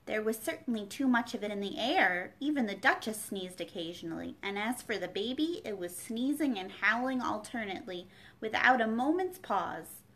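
A woman's voice: medium pitch, animated, moderate pace, a bit bright. A young adult woman reading a children's novel aloud as a storyteller, recorded in a room at home. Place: small furnished room at home, indoors.

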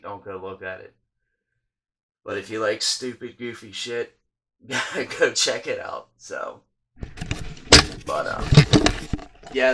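A man's voice: low-pitched, bright, forceful tone. A man in his thirties vlogging late at night in a small room, rambling with many ums and restarts and casual swearing. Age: 30s. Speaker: YouTuber. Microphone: webcam or handheld phone at close range.

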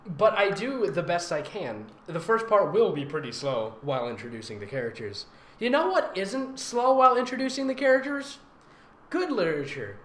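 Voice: medium pitch, sing-song, moderate pace. A theatrical, sarcastic and giggly host reading fan fiction aloud with mocking comedic commentary. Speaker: fanfic reader and voice actor hosting a reading. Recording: roomy echo, faint background noise.

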